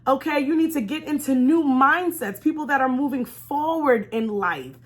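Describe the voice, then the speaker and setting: A woman's voice: high voice, moderate pace. A woman giving a motivational vlog talk in an emphatic way, repeating key phrases and speaking straight to her viewers. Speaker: vlogger and life coach. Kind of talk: motivational storytime vlog with faith-based encouragement.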